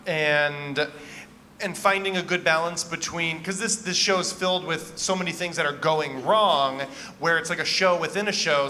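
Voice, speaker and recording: Bright-voiced man giving encouraging feedback. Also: fairly high pitch, a little hiss or hum. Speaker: theatre festival judge giving feedback. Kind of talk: informal spoken critique to young performers.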